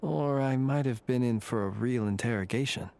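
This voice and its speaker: Man playing a courteous, dryly ironic game gentleman. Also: medium pitch, gravelly, close to an everyday voice. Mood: wry, nostalgic. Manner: formal, measured, dryly ironic. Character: courteous, wry, secretive male lantern-bearing Lightkeeper. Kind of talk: video game voice acting.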